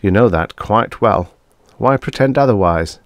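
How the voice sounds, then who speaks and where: A man voicing a grieving older male professor who speaks quietly, gently and dully. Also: lowish voice, lively intonation, measured pace, no room sound. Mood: weary.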